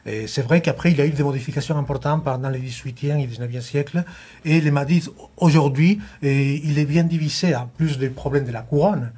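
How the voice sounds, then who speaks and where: A man's voice: a little high, fairly quick, mellow, husky. A man speaking as a guest on a studio panel, hesitant, with many restarts.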